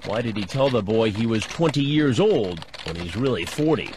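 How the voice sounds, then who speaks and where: A man's voice: fairly low pitch, animated, moderate pace, background noise. A man narrating a TV investigative program in a wry, matter-of-fact scripted voice-over.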